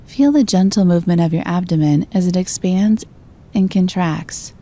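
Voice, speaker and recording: A woman narrating a scripted guided meditation in a gentle, calm and soothing manner. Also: fairly low pitch, normal speed.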